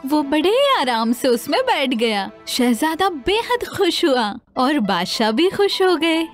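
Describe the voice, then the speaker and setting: A woman narrating a scripted fairy tale for children in an expressive, dramatized way. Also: sits high. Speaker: storyteller.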